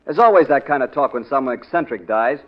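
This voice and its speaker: A man plays an irritable, defensive nephew in a 1940s radio mystery. He talks fast in a mid-pitched male voice, insistent and dismissive. The sound is dull and aged. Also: animated, faint music behind, lightly acted. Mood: defensive, irritated. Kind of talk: 1940s radio drama acting. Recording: muffled old radio drama recording.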